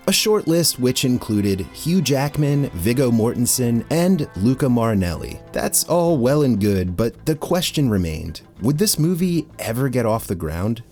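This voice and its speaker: A man's voice: lowish voice, a little mellow. A man narrating a scripted video essay as its host, in a conversational way with wry asides.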